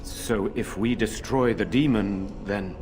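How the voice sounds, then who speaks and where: A man voicing a noble lord in a video game, formal and measured in the way he speaks. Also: fairly low pitch. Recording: clear game music behind.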